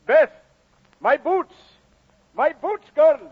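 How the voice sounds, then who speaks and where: A man's voice: very high-pitched, expressive, very slow, exaggerated voice. An actor playing a proud, homely miner and father in an old radio drama, blustering, repetitive and deferential. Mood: blustery, impatient. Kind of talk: 1940s radio drama acting. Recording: muffled old radio broadcast.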